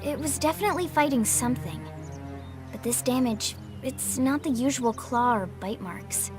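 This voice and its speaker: A woman's voice: high-pitched, normal speed, music in the background, lightly acted. An actress playing a keen young female soldier in a video game, with an earnest, alert delivery. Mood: wary, curious. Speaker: team member. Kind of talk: video game cutscene acting.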